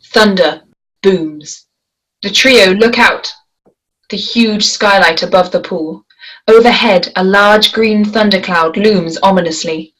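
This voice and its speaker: A woman reads a screenplay's stage directions aloud at a table read. Her delivery is measured and descriptive, at an ordinary middle pitch. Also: fairly bright tone, rough recording. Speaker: narrator reading stage directions.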